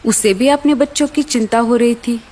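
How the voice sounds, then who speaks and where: A woman's voice: a little high, moderate pace, mellow. A woman narrating a scripted children's story.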